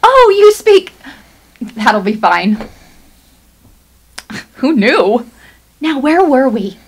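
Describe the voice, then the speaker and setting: A chirpy, managing wife in a radio play, acted by a woman. Her voice is very high and highly animated, and she bosses people about breezily. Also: drawn-out words, slight background noise, full character voice. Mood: chatty, pleased. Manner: animated, directing. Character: bossy, chirpy wife who likes to orchestrate everyone. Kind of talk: radio theatre acting.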